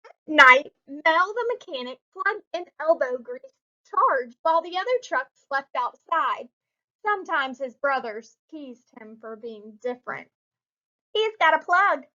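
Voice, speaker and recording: Female story reader for young children: high voice, slow pace, expressive emphasis. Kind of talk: children's picture book read-aloud. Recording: muffled lo-fi recording.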